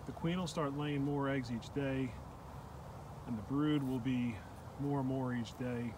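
Calm man narrating outdoors among beehives. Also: medium pitch, measured pace. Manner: calm, matter-of-fact commentary. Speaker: beekeeper. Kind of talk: casual narration while filming. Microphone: handheld phone camera. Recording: outdoor phone recording with steady background noise.

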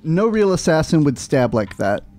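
A man's voice: a little high, very animated, moderate pace, a little mellow, slight background noise. A man talking casually as a player at a tabletop role-playing game. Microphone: microphone at the game table.

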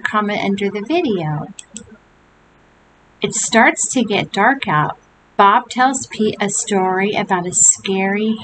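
An older woman reading a picture book aloud to young children, with gentle chatty asides. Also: mid-range voice. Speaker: storybook reader. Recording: home recording with steady hiss.

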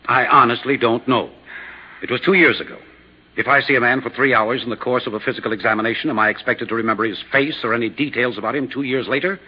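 An actor playing a belligerent, defensive doctor in a 1950s radio drama, curt, indignant and husky. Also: male voice, mid-range voice, fairly even intonation, fast talker, natural voice. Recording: dull, lo-fi old radio recording.

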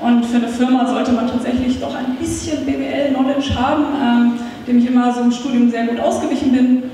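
A woman's voice: high voice, a little fast, slight background noise. A woman, giving a chatty conference talk as a startup founder into a handheld mic, with lots of filler sounds. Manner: lively, chatty, many fillers. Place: meetup hall, indoors, on stage. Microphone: handheld microphone. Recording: echoey hall, taped from far off.

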